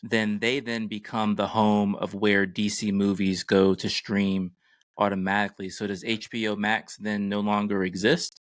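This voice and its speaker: A man's voice: fairly low pitch, level tone, moderate pace, dry recording, clean studio sound. A man co-hosting a podcast, chatting casually and putting questions to his partner. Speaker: podcast co-host. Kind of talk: casual chat, posing questions.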